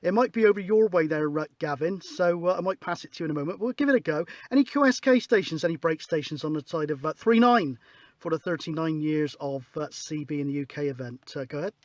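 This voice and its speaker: A man's voice: fairly high pitch, fast talker, no room sound. A man chatting casually and putting out calls as a CB radio operator, in an informal, matey way. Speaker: CB radio operator leading an on-air event. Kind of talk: casual radio calling and chat. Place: outdoors on a hillside in Wiltshire, at a CB radio set.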